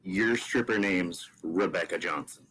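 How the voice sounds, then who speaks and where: A man's voice: low voice, measured pace, pushed and bright, slight background noise. A man reading a supportive, teasing best friend at a comedy table read. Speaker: guest reading all the extra parts.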